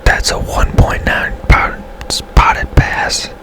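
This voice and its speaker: Guy whispering real slow, chill asmr gaming commentary, super casual. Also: a bit bright. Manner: whispering throughout; casual, unhurried. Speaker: gaming streamer.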